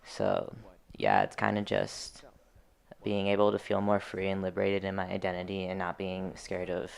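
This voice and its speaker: A man's voice: low-pitched, normal speed, vocal fry, clean tone. A young man reflecting casually on personal matters, hesitant and rambling, peppering the talk with filler.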